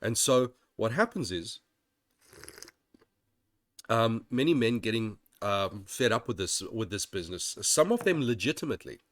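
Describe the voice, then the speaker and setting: A man's voice: lowish voice, big pitch swings, moderate pace, a bit bright, no room sound, clean studio sound. A man hosting his channel, talking in a rambling, digressive way with many restarts, sounding slightly nasal while getting over an illness. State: occasional coughing. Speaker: channel host. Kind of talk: casual commentary monologue.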